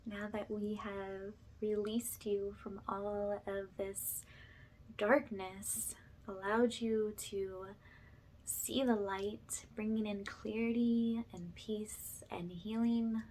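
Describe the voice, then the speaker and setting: A woman with a soft, breathy voice that often drops to a whisper, gently leading a soothing spiritual ASMR session in a reassuring way. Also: medium pitch, flat delivery, takes it slowly, slight background noise, low-quality recording. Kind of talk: ASMR, soothing guided energy cleanse.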